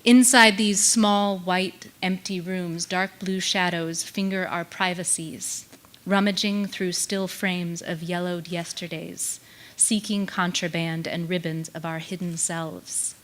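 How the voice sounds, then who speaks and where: A woman reads poetry solemnly on a stage. Her voice sits a little low, and she takes each phrase very slowly and deliberately into a stand microphone. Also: steady tone, fairly bright tone. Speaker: literary event reader. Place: on stage in a theater.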